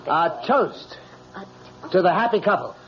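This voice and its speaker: An actor playing a suave, skeptical gentleman friend in an old-time radio drama, courteous and polished. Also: sits high, very animated, a little slow. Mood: gracious. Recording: dull lo-fi radio sound.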